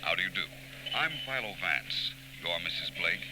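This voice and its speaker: A suave radio detective of the 1940s. His voice is deep and moves expressively up and down. He is polite and quietly sure of himself, heard through the noise of an old recording. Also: big pitch swings. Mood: courteous, probing. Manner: assured, polite, unhurried deduction. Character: confident, urbane amateur detective, quietly knowing.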